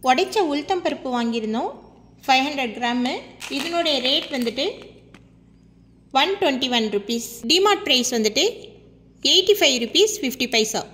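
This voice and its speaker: High, bright Tamil woman in reverberant room. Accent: Tamil Indian. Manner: addresses viewers as friends, itemized listing delivery. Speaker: family vlogger. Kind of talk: shopping haul voice-over listing items and prices. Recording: long hollow reverberant room echo.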